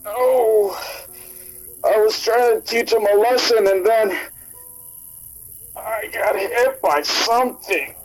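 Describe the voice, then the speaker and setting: Brutish oaf, extremely high voice, blustering, groaning, radio drama, faint music. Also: male voice, slow pace, pauses often, low-quality recording. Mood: dazed, groaning.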